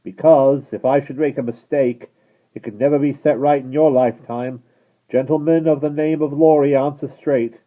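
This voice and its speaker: A gruff, suspicious coach guard who roars his warnings into the dark, put on by a man reading a storybook. His voice is pushed up from a deep base and comes through thin and phone-like. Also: steady tone, moderate pace, lightly acted. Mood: shouting threats. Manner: loud, shouting, threatening. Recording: tinny phone-like sound.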